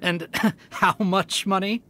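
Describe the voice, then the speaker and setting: A man's voice: high voice, fairly even intonation, slow, bright, forceful tone, slight background noise, exaggerated voice. An actor playing an incredulous, dismissive bandit henchman in a video game. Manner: incredulous, scoffing. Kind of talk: video game character acting. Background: game music.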